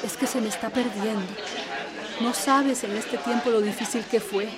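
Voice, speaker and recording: An actress playing a weary, worried older guardian in a radio drama, plaintive and full of sighing exclamations. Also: female voice, a little high, fairly even intonation, normal speed, over a noisy crowd, lightly acted.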